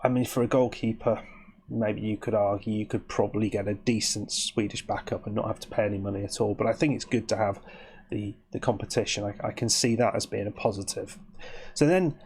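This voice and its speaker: A man, croaky and sniffly with hay fever, giving rambling, chatty commentary on his game as host. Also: on the low side, steady tone, fairly quick, darkish tone, slight background noise. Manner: rambling, chatty, with frequent restarts. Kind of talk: casual gameplay commentary.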